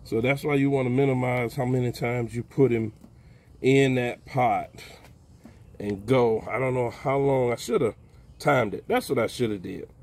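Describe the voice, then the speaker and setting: An older man giving a relaxed, folksy how-to narration outdoors in a backyard while he works with his hands. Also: mid-range voice, moderate pace. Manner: folksy, relaxed, talks while plucking, calls out "baby". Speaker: backyard homesteader. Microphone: phone camera held close, hands-on filming.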